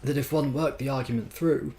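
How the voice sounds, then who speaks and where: A man's voice: medium pitch, fairly even intonation, fairly quick, mellow, voice pushed up, natural voice. A man voicing an earnest, pedantic young man who insists pompously, in a storybook reading. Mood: earnest, insistent. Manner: earnest, emphatic, pompous phrasing.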